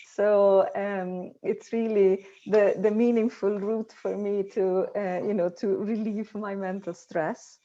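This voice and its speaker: A woman's voice: mid-range voice, dark tone. Italian-accented woman on a muffled call. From Italy. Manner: chatty, with many fillers and restarts. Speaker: conference respondent. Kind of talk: casual academic talk over video call. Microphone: video call.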